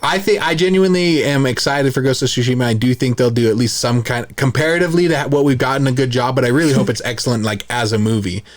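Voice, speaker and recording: A man's voice: medium pitch, quick pace, hardly any pauses. A man co-hosting a podcast, giving his opinions in a casual, chatty style. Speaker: podcast co-host.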